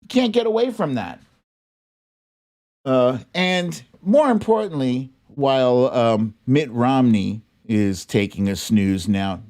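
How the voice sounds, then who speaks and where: A man's voice: medium pitch, a little mellow. A man with an American accent giving casual, opinionated political commentary as a host, informal and emphatic.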